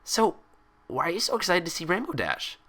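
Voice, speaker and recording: A man's voice: sits high, big pitch swings, fairly quick, pushed and bright, pitched above the performer's own voice, heavily acted. A man voicing a hyper, cheerful, gullible young female cartoon pony in bubbly, rambling, childlike chatter.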